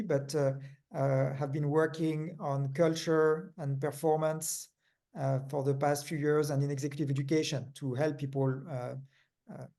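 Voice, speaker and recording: A man's voice: a little high, darkish tone. A man with a Danish accent, co-hosting an interview, talking hesitantly with many fillers. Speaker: co-host, management consultant.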